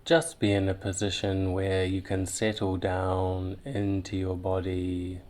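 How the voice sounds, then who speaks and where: A man leading a guided visualization in a soothing, meditative way, leaving long pauses between short phrases. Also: low voice, monotone, a little mellow.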